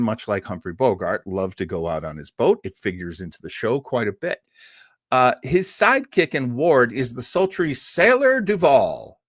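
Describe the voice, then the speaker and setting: A man's voice: mid-range voice, dry recording. A man hosting a live listening party, presenting casually in a chatty, enthusiastic way with dry humor.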